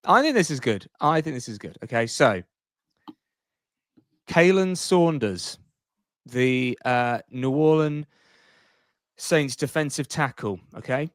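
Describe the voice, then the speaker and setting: A man with a mid-pitched voice talks casually as a podcast co-host. He rambles in a playful, jokey manner, and the sound is very dry. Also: lively intonation, takes it slowly, pauses often, no room sound.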